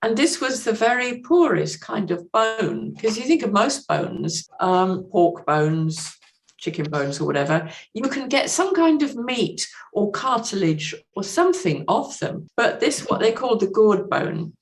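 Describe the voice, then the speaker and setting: A woman's voice: medium pitch, very animated, unhurried, vocal fry. A woman speaking as a podcast guest on literature, articulate and explanatory. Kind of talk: literary discussion and reading aloud.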